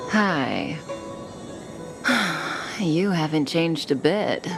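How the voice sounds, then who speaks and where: Mysterious young female game outlaw, low voice, very slow deliberate delivery, coolly teasing, soft endings. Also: sing-song, vocal fry, heavily acted, rough recording. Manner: unhurried, deliberate, coyly knowing. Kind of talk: video game character dialogue. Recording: studio voice over game music.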